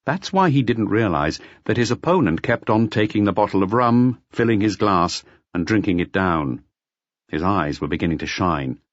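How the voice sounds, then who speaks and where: A man narrating a literary audiobook in a measured, expressive storytelling manner. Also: fairly low pitch, no room sound, lo-fi sound. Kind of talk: scripted literary narration of a detective novel.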